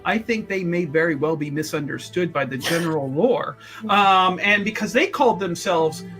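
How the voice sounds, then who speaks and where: Player doing a rambly flamboyant guy mage, bright voice, keeps stammering and gushing, tabletop game, bit of music under it. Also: mid-range voice, normal speed, close to an everyday voice. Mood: earnest, defensive. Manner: hesitant, stammering, effusive. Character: flamboyant, rambling male mage eager to defend spirits. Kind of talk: improvised in-character tabletop roleplay.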